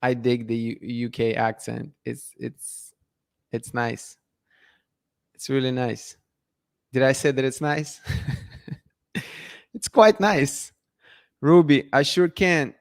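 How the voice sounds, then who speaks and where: Chatty man, smooth voice. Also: mid-range voice, fairly even intonation, slow pace, pauses often, no room sound, studio quality. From Russia. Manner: chatty, restarts words, laughs often. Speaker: channel host. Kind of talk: casual live-stream chat reading viewer comments.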